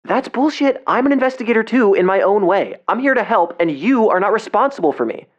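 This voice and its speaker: An actor playing a cocky, defensive seventeen-year-old amateur explorer in an audio drama, talking casually and bristling when challenged. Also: male voice, high voice, lively intonation, fairly quick, muffled recording. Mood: defiant, indignant. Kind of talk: audio drama phone dialogue.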